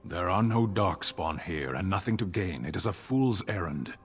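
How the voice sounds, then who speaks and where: An actor in a fantasy game playing a stern, disapproving warrior companion who speaks tersely and bluntly. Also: male voice, low-pitched, expressive, normal speed, faint music behind. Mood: stern, dismissive.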